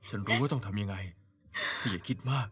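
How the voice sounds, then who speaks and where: A man's voice: fairly low pitch, quick pace, fairly bright tone, husky. An actor playing a concerned younger man in a dubbed TV melodrama, coaxing and reasoning with someone. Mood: soothing.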